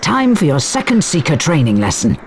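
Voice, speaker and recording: An actor playing a brisk, formal flying instructor at a wizard school, giving instructions in a video game. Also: male voice, fairly high pitch, huge pitch swings, soft background music. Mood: brisk, instructive. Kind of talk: video game tutorial acting.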